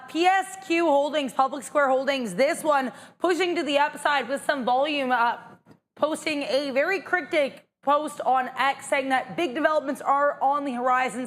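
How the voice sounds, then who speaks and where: A woman delivering a brisk stock alert update on a live trading stream. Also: sits high, a bit bright. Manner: brisk, informative. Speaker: market news reporter.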